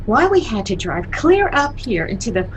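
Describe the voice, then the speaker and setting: A woman's voice: on the high side, sing-song, normal speed, dull audio, a little hiss or hum. A woman playing a whiny, skeptical American teenage girl in a 1950s-style radio sitcom, petulant and dramatic. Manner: petulant, emphatic.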